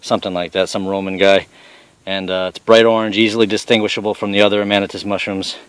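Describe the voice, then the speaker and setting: A middle-aged man with a fairly low voice talks bluntly and casually, tossing off salty remarks. He is outdoors on a forest trail, recorded on a handheld camera. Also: level tone, fast talker, clean tone, no echo. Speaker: father showing mushrooms on a hike.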